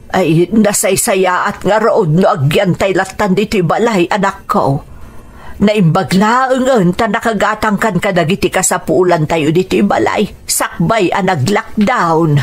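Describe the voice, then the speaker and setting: A woman's voice: mid-range voice, unhurried, husky. A woman playing a worried mother in a radio drama, with a Filipino accent and plaintive, sighing delivery. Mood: worried, resigned.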